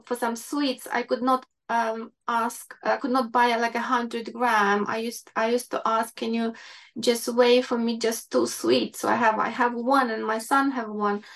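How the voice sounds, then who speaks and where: A woman's voice: a little high, creaky, fairly bright tone. A woman in her fifties from Ukraine with a Ukrainian accent, a doctor telling her life story in an interview with many fillers and restarts. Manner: hesitant, many fillers and restarts, non-native grammar. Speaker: guest doctor.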